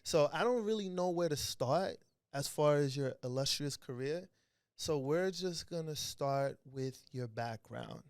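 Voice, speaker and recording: A man's voice: on the high side, lively intonation, a little slow, darkish tone, clear, resonant tone, dry recording, studio quality. A man hosting a podcast, asking questions in an easygoing, teasing way with short encouraging replies. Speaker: podcast host. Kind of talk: casual interview chat.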